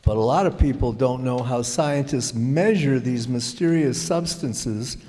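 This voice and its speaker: A man's voice: medium pitch, measured pace, dark tone, close to an everyday voice. A man playing a reassuring family druggist in a scripted old-time radio advertisement, explanatory, folksy and courteous. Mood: earnest, explanatory. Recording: reverberant hall stage recording.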